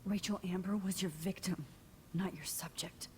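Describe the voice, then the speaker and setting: An actress playing a defiant, distraught teenage girl held captive in a video game, speaking in an intense, hushed, accusing way. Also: lowish voice, steady tone, moderate pace, slight background noise, natural voice. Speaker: heroine in a story video game. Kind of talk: dramatic video game acting.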